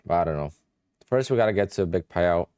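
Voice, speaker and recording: A man's voice: sits low, expressive, quick pace, a little mellow, clean tone, no echo, clean studio sound. A young man with a Polish-influenced accent, chatting offhand on a live stream while he trades and answers questions from viewers. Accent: Polish-influenced English. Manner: offhand, thinking aloud, answers chat questions. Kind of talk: casual livestream chat while trading.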